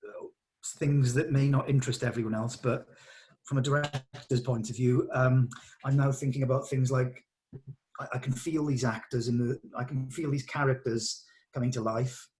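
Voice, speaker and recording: A man's voice: medium pitch, steady tone, normal speed, darkish tone. Man, hesitant and thoughtful. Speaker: director. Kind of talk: informal rehearsal discussion, some reading of stage directions.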